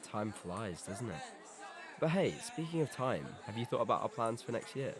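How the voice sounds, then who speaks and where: A man's voice: medium pitch, big pitch swings, moderate pace, crowd noise, close to an everyday voice. A young man acting a laid-back teenage skater boy in a scripted audio drama, talking in casual slang. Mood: upbeat, casual.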